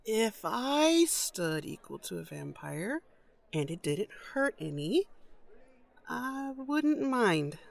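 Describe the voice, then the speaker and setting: A guarded, weary woman in an audio drama. Her voice has a noticeable creak and swings widely in pitch, with a hesitant, cautious delivery. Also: very animated, takes it slowly, exaggerated voice. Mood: wistful. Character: wary, weary former captive, cautiously yearning for freedom. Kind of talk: audio drama dialogue acting.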